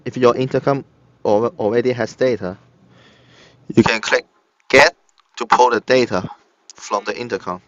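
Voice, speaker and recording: A man narrating a step-by-step software tutorial in short, halting instructional phrases. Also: medium pitch, fairly even intonation, no room sound. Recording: dull lo-fi computer recording. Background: screen-recorded tutorial voice-over.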